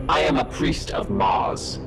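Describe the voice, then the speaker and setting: An actor playing a cold, clinical machine-augmented priest in a video game cinematic, with a gravelly, crackling voice. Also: male voice, mid-range voice, expressive, a little slow, loud music behind the voice, lightly acted. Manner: clinical, formal, detached. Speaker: tech-priest.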